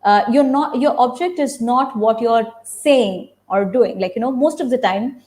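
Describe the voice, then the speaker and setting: A woman's voice: on the high side, a little slow, a little mellow. A woman theatre practitioner explaining ideas on a webinar, stressing her points by repeating key phrases.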